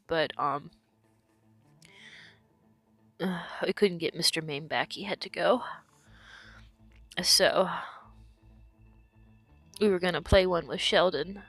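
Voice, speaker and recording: Woman, lower voice, hoarse from illness, casual gameplay commentary that explains each move; faint music under. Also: fairly bright tone, dry recording. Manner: explanatory, talks through her decisions. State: losing her voice from illness.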